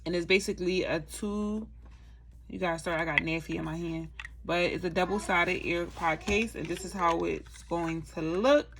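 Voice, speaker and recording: Young woman, craft vlogger, low gravelly voice, chatty and filler-heavy, faint hiss behind. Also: steady tone, normal speed. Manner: chatty, many fillers, addresses viewers as "you guys". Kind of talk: casual how-to vlog chat.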